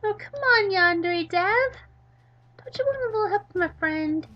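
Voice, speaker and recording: Woman putting on an excitable, high-pitched pestering girl. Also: normal speed, muffled recording. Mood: pleading, bubbly. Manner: excitable, pleading, gushing. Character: peppy, pestering teenage girl, eager and oblivious.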